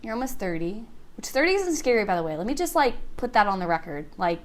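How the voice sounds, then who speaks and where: A woman's voice: mid-range voice, very animated, fast. A woman, co-hosting a podcast in a chatty, upbeat way with lots of fillers. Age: 20s. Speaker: podcast co-host. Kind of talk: casual chat.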